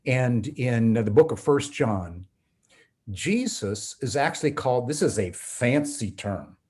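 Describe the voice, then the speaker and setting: A man, a pastor and Bible professor, explaining scripture in an earnest, preacherly way, slowing and speeding up for emphasis. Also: mid-range voice, animated, a little mellow. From North America. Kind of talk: teaching conversation.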